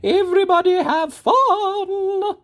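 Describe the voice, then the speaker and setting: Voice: extremely high pitch, slow, pitched above the performer's own voice. A storyteller voicing a flustered, dramatic woman mayor with an exclamatory manner in a children's story. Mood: cheery.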